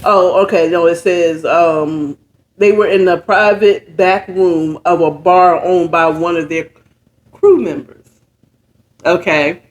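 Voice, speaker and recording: Woman telling a story casually, real slow n halting, chatty, keeps checking you get it. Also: fairly low pitch, dull audio. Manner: halting, conversational, checks in with listeners. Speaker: storyteller retelling a book chapter. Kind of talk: informal ad-libbed story retelling.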